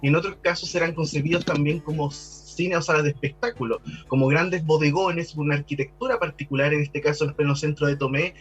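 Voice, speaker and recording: A man's voice: on the high side, fairly bright tone, husky, lo-fi sound. A man with a Chilean accent speaking as a guest expert, explaining in a conversational, discursive interview style with some repeated words. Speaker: guest expert on local architectural history.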